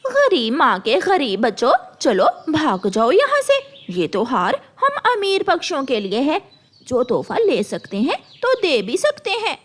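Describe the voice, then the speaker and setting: A woman's voice: high-pitched, wildly swooping pitch, a little slow, full character voice. A woman voicing a haughty, hot-tempered rich mother crow in a cartoon, with a scolding, imperious manner. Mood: scornful, scolding.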